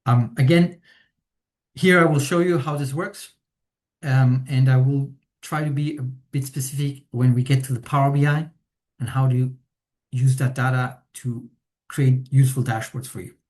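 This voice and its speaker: Chilean-born man giving a software webinar. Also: medium pitch, fairly even intonation, moderate pace. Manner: hesitant, many fillers, tag questions. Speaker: implementation consultant presenting a webinar. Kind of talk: presentation walkthrough.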